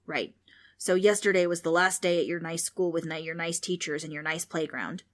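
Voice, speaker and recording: A woman reading aloud, voicing a loud, scornful male ghost who mocks children. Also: on the low side, steady tone, normal speed, natural voice. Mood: mocking. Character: bossy male ghost, gruff and mocking.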